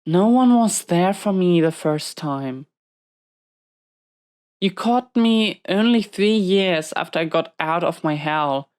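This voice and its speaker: A woman playing a battle-scarred prison inmate villain in an intimate audio roleplay, confiding in a halting, reflective, weary way. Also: lowish voice, expressive, a little mellow. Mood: reflective, matter-of-fact. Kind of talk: slow intimate audio roleplay monologue to a listener.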